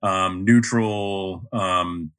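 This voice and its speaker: A middle-aged American man with a neutral Mid-Atlantic accent speaks as a podcast guest. His voice sits low and has a bright, forward edge. He talks in a relaxed, reflective way, often pausing on ums. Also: clear, resonant tone. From North Carolina, US. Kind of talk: casual interview talk.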